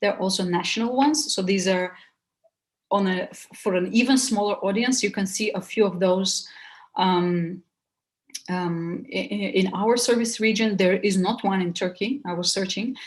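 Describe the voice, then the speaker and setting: A woman presents informally at a seminar. Her voice sits at a middling pitch. She moves haltingly through her points, with frequent hesitations as she explains. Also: steady tone. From Bulgaria. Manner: hesitant, halting delivery with many pauses.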